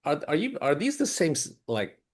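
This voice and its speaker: A man hosting a casual interview. He asks questions in a halting way, often restarting mid-sentence and filling with "like" and "you know.". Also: a little high, wildly swooping pitch. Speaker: host.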